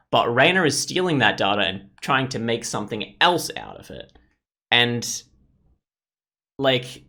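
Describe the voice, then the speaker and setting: A man's voice: medium pitch, fairly bright tone. A young man hosting a let's play, talking in an informal, rambling and sweary way. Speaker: gaming video host. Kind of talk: casual let's play commentary.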